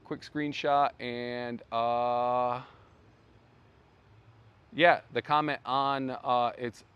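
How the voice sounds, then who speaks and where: A man hosting a casual brand livestream outdoors, rambling with many fillers and restarts, his voice going hoarse. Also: medium pitch, level tone, drawn-out words, highs cut off. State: losing his voice. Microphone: phone.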